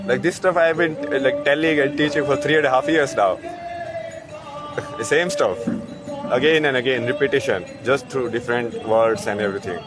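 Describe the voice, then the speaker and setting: A man's voice: mid-range voice, big pitch swings, normal speed, loud music behind the voice, low-quality recording. A man talking in a rambling, casual way, with lots of "like" and "no?". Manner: rambling, uses "like" and "no?" a lot, chuckles. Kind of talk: casual monologue.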